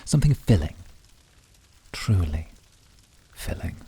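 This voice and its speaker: A man playing a cool, controlled, seductive demon servant in a scripted ASMR roleplay, speaking in a calm, measured, husky voice. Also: low voice, slight background noise, exaggerated voice. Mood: dry, seductive. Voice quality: husky, breathy. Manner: measured, calm, formal and intimate.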